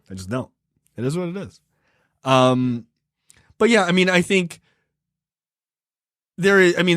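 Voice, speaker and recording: A man's voice: medium pitch, fairly bright tone, dry recording, studio quality. A man from Baltimore co-hosting a casual podcast chat, talking in an emphatic, chatty way and laughing easily. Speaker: podcast co-host.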